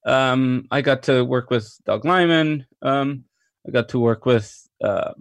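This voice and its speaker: A man from Mexico, a cinematographer, talking about his career in a relaxed interview with frequent fillers and restarts. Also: mid-range voice, slow, creaky, smooth, no room sound. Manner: thoughtful, many fillers and restarts, enthusiastic. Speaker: cinematographer guest.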